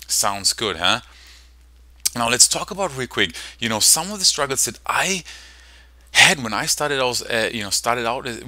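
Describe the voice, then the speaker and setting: Fast-talking German-accented marketing man. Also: bright, forceful tone, no echo. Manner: fast-talking, pumped-up salesman pitch. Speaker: online marketing coach. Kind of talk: energetic sales presentation.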